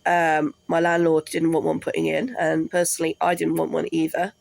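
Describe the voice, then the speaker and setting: Congested woman vlogger, slow gravelly delivery, apologetic and rambling, dry close sound. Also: deep voice, little pitch movement, a little mellow, no room sound. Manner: apologetic, rambling, many ums. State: congested with a cold. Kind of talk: casual talk to camera. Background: washing machine running.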